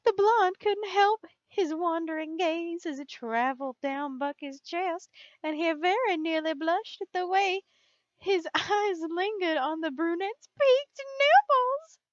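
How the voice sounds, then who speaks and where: High-voiced woman reading a story, muffled recording. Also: lively intonation, measured pace, no echo. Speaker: fan fiction reader. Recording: dull, muffled lo-fi sound.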